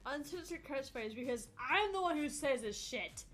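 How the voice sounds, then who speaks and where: A woman's voice: sits high, lively intonation, moderate pace, a bit bright. A woman co-hosting a gaming livestream, bantering casually in a playful, blunt manner. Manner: playful, blunt, sweary interjections. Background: background music.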